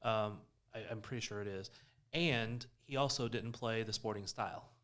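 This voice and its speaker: Low-voiced man co-hosting a sports podcast. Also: normal speed, a bit bright. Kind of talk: casual post-match soccer discussion. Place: recorded in person in a shared room.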